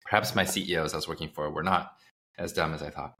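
A man's voice: very deep voice, steady tone, fast, bright, forceful tone. A man in his thirties from the United States, a startup founder telling his story in a casual interview, rambling with fillers and self-corrections.

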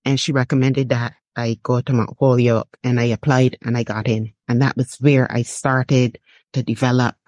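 A middle-aged woman from Barbados with a Barbadian accent, reflecting on personal experience as an interview guest, often halting and repeating phrases. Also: extremely low pitch, flat delivery, a little mellow, dry recording, highs cut off. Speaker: interview guest, artist and writer.

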